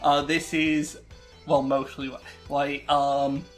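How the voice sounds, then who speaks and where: Low, slow, hesitant woman chatting over music. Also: little pitch movement. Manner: hesitant, thinking aloud. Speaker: guesser in a character-naming game. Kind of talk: casual chat. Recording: background music under the voice.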